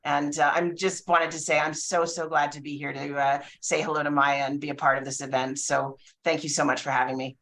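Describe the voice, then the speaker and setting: A woman in her forties, a criminal defence lawyer, speaking in a reflective, measured way on a video call. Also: low-pitched, little pitch movement, a bit bright, a little hiss or hum. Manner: reflective, measured, with frequent fillers. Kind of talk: casual panel chat.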